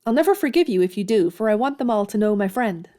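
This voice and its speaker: A woman's voice: medium pitch, lively intonation, fast, mellow, lightly acted. An actress playing a frank, spirited young woman in an audio drama, speaking earnestly and candidly. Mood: bright, affectionate.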